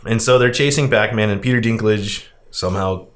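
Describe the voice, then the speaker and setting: A man hosting a podcast, recapping a film in a casual, rambling, halting way. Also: lowish voice, a little hiss or hum. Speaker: podcast host.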